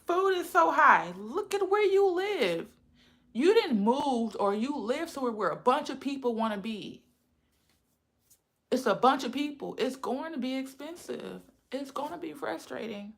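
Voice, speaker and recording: A chatty woman talks straight to her livestream viewers. Her fairly high voice carries a gravelly fry and a slight lisp. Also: expressive, a little slow. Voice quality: says she has a lisp. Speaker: livestream host. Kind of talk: casual livestream chat with viewers.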